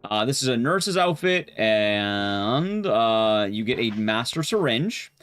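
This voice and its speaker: A man's voice: medium pitch, big pitch swings, slow pace, a bit bright, smooth. A man livestreaming a game and chatting casually with viewers, rambling and restarting his sentences as he goes. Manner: rambling, often restarting sentences mid-thought.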